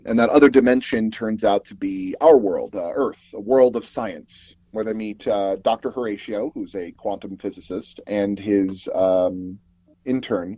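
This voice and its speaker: A man being interviewed as a guest, telling a story casually and pausing mid-sentence as he goes. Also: lowish voice, dark tone, no room sound, highs cut off. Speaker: interview guest, audio drama producer.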